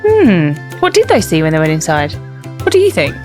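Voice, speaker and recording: A woman's voice: sits low, huge pitch swings, normal speed, a little mellow, faint music behind. A woman chatting casually as a mother co-hosting children's story time, encouraging, asking questions and explaining words simply. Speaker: co-host, mother of the young reader.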